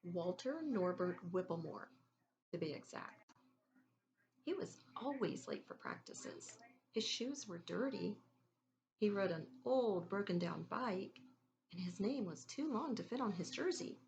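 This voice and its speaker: A woman reading a children's story aloud in an expressive, deliberate teacherly way, pausing to put questions to her listeners. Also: medium pitch, a little hiss or hum, poor audio.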